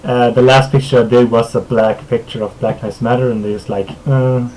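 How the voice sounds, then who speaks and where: A man from Sweden whose first language is Swedish, hosting a casual streamed podcast, laid-back and quick to chuckle, with filler words and trailing sentences. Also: mid-range voice, flat delivery, a little mellow, a little hiss or hum, low-quality recording. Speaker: podcast host.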